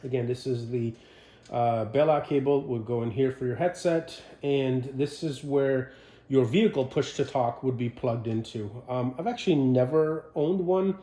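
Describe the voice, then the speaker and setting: A man giving an informal, unscripted equipment demonstration, explaining in a halting way and putting questions to the viewer. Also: mid-range voice, warm tone. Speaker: presenter of a military radio equipment walkthrough. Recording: roomy, echoing sound.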